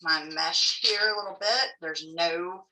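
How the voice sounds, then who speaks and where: A woman's voice: fairly low pitch, measured pace, roomy sound, distant mic, background noise. A woman with a Texan accent teaching a live online craft class in a chatty, folksy way, joking about herself as she works. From Texas.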